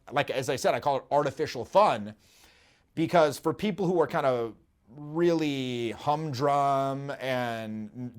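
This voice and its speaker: Animated storytelling man in a studio. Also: medium pitch. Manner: animated storytelling, acts out quotes. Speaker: dating coach and host. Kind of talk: casual podcast chat. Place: dark studio, indoors.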